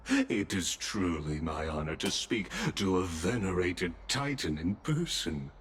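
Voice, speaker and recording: A courteous, enigmatic gentleman from a video game, very deep and slow. He speaks formally with theatrical, sweeping intonation and a cunning politeness. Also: animated, a little hiss or hum. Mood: reverent, inviting. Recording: background music behind the voice.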